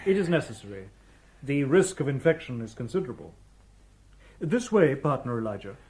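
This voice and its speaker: An actor playing a polite, literal robot policeman in a radio drama, with formal, measured phrasing. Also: mid-range voice, very animated, a little mellow, slightly rough. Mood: calm, firm. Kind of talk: old-time radio drama acting.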